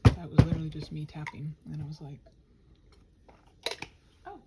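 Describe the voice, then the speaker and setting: A woman's voice: deep voice, steady tone, moderate pace, very noisy. A woman rambling casually to her listeners, muttering to herself and slipping into whispers, with playful, sarcastic asides. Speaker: ASMR and audio channel creator. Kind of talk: casual rambling body-doubling chat to listeners.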